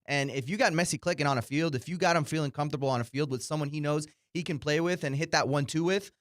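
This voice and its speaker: Fast-talking male soccer analyst. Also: a little high, a bit bright, dry recording, clean studio sound. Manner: explanatory, conversational. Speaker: soccer show co-host and analyst. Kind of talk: casual sports analysis.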